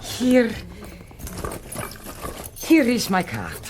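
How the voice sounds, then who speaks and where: A woman's voice: a little high, huge pitch swings, extremely slow, darkish tone, slight background noise, heavily acted. A woman playing an imposing foreign princess and seance medium in a radio comedy, with an Eastern European accent and portentous, stilted speech. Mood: mystical, confiding. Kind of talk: radio comedy drama acting.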